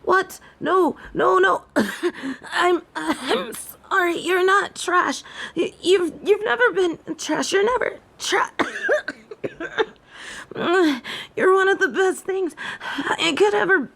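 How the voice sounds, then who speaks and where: A woman playing a haughty, imperious yet softening fallen angel in a scripted audio roleplay, speaking in formal, theatrical phrases. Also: extremely high pitch, slow pace, gravelly, heavily acted. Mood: flustered, tearful.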